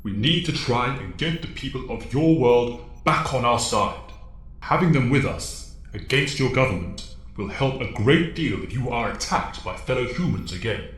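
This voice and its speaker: Guy acting as stern wise mentor for a hero team, formal measured talk, mid pitch, very echoey. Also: animated, a bit bright, soft background music, lightly acted. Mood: grave, formal. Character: solemn, authoritative male mentor and strategist of young heroes. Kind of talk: audio drama acting. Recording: echoey, reverberant voice.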